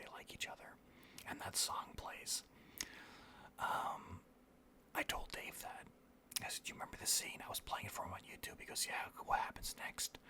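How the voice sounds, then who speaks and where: A video host speaking in a breathy whisper, rambling casually through anecdotes with some swearing. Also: deep voice.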